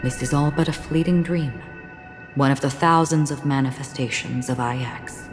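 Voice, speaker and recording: Stoic female wanderer, very deep voice, calm, enigmatic, measured, video game cutscene, prominent music. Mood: solemn, detached. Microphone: close studio voice recording.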